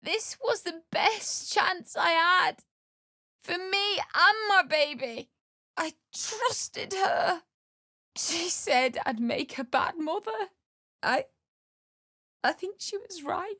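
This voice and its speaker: Young grieving widow in a game, really high voice, slow and swoopy, desperate n bitter. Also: female voice, lively intonation, bright, forceful tone, no echo, studio quality, heavily acted. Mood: distraught. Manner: emotional, pleading, swinging between grief and anger. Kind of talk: dramatic video game voice acting.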